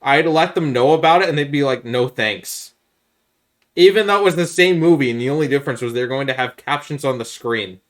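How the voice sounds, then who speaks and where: A man's voice: mid-range voice, a little fast. A man with a mid-range voice talks as a podcast co-host. His delivery is casual and conversational, with an emphatic way of laying out his reasoning.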